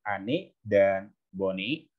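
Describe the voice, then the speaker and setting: A male tutor with a fairly low voice explains things step by step in an informal way. He keeps turning to his students with short checking questions. The sound is somewhat dull and lo-fi. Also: lively intonation, takes it slowly. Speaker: tutor teaching exam maths.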